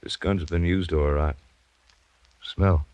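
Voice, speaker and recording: Wry, low-voiced city cop. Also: male voice, fairly even intonation, takes it slowly, a little mellow, no room sound. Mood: matter-of-fact. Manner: sardonic, colloquial, matter-of-fact. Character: wry, hard-boiled city policeman, the sergeant's sidekick. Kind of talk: old-time radio drama acting.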